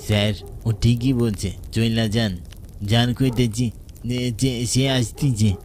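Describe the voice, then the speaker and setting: A man's voice: medium pitch, little pitch movement, slow pace, darkish tone, slight background noise, natural voice. A man with an eastern Bengali accent playing a remorseful village tea seller's ghost, grave and warning, in a horror cartoon. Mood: urgent warning.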